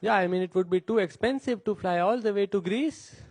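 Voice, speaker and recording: A man performing a stand-up set, speaking slowly and deliberately with pauses before the punchlines. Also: high-pitched, heavy background noise. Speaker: stand-up comedian on stage.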